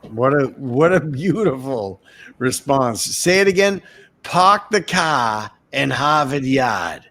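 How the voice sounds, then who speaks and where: Slow talking massachusetts guy hosting a voice chat, teasing everyone. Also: mid-range voice, animated, fairly bright tone, dry recording. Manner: slow, teasing, laughs often. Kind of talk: casual group voice chat.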